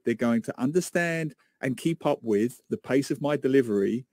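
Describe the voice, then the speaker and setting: A man's voice: medium pitch, expressive, normal speed, a little mellow, no room sound. A man co-hosting a radio interview in a studio, speaking with emphatic enthusiasm. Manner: enthusiastic, emphatic, repeats words. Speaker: radio co-host. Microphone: studio microphone with headphones.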